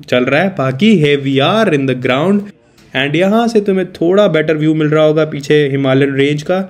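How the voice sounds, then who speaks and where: A man walking viewers through an outdoor cricket stadium, explaining things casually in a mix of Hindi and English. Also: medium pitch. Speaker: cricket vlogger giving a stadium tour. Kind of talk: walking tour vlog, mixing Hindi and English.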